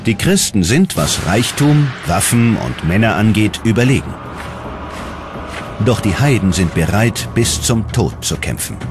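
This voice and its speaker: Male narrator, low voice, lively intonation, dramatic scripted documentary delivery, music underneath. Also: unhurried.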